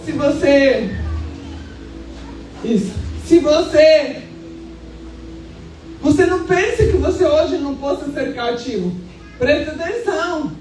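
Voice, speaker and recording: A very echoey, slightly distant church recording with music carries the voice of a Brazilian woman pastor. Her voice is extremely high, and she preaches in an emphatic, animated way, telling stories in everyday colloquial speech. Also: slow, pauses often. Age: 50s. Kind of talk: charismatic church preaching with personal storytelling. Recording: echoey hall, distant sound, music underneath.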